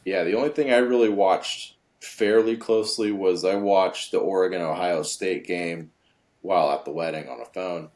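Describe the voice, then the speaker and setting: Deep-voiced easygoing podcast host. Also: male voice, steady tone, normal speed, a little mellow. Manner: upbeat, easygoing, many fillers. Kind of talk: casual sports chat.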